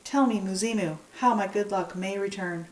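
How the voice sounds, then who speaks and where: A woman's voice: mid-range voice, moderate pace, natural voice. A woman voicing a gullible, good-natured male leopard, an earnest and trusting hunter in a children's folktale. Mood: pleading. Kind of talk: children's folktale read-aloud. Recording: echoey room, distant microphone.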